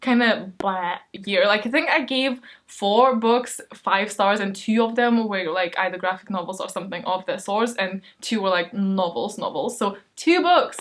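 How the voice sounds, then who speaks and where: Casting: woman, informal book vlogger, mid-pitched voice, rambling and giggly, emphatic and expressive delivery. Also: normal speed, hardly any pauses. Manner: rambling, self-deprecating, giggly. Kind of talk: casual chatty vlog to camera.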